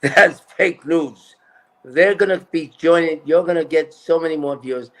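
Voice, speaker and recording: A man's voice: on the high side, moderate pace, fairly bright tone, rough recording. A man talking as a political candidate in a casual interview, emphatic and repeating his points.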